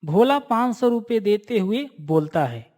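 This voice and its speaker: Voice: medium pitch, big pitch swings, unhurried, a little mellow. A narrator telling a scripted moral story in storytelling style.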